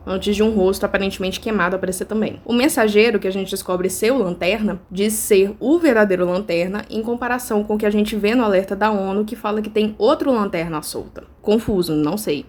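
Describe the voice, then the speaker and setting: A woman's voice: medium pitch, a little mellow. A woman with a Brazilian accent hosting an informal video commentary, explaining casually with playful asides.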